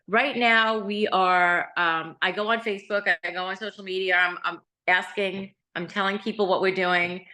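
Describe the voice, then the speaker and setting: Female nonprofit founder, earnest, plain-spoken, lowish pitch, bright tone. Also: rough recording. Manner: earnest, plain-spoken, frequent fillers and restarts. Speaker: nonprofit founder, interview guest. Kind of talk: conversational interview answers.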